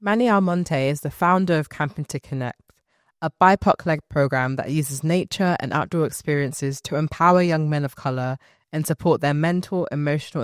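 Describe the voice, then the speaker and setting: A podcast host of medium pitch reading scripted narration. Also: no room sound, studio quality. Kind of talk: scripted narration over music. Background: music.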